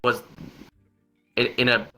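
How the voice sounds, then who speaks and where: A man's voice: slow pace, sharp tone, soft background music. A man reading a story aloud unrehearsed, often stumbling and repeating words. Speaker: reader of a long joke story.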